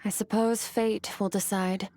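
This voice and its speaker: A woman playing a stoic, aloof immortal warrior in a video game, cool and measured in her delivery. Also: medium pitch, little pitch movement, warm tone, natural voice. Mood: calm, reflective.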